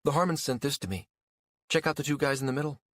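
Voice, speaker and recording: A man's voice: a little high, fast talker, dry recording, clean studio sound, voice pushed up, close to an everyday voice. A man voicing a matter-of-fact woman in an audiobook story, explaining things with assurance. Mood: assured, revealing. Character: matter-of-fact woman revealing inside information.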